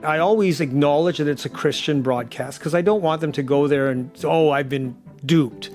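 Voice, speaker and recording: A man's voice: on the high side, moderate pace, darkish tone, soft background music. A man in a casual interview, telling anecdotes and acting out both sides of conversations. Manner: acts out dialogue as he tells stories. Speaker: audio drama director, interviewee.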